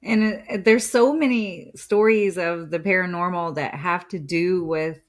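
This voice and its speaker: Low-voiced woman podcaster, musing and conversational. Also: unhurried. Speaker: podcast co-host. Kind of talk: casual reactive chat.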